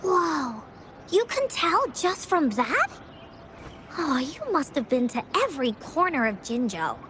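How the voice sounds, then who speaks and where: A woman's voice: high voice, sing-song, slow, noisy background, exaggerated voice. A woman voicing an eager, bubbly little girl adventurer in an anime video game, with a childlike, peppy delivery. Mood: curious, excited. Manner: childlike, peppy, refers to herself by name.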